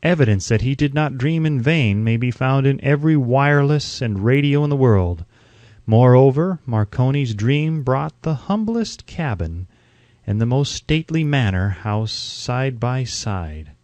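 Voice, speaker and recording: A man's voice: mid-range voice, expressive, a little slow, a little mellow, no echo. Man reading an audiobook, dry close sound. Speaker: audiobook narrator. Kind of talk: scripted audiobook narration of a self-help book.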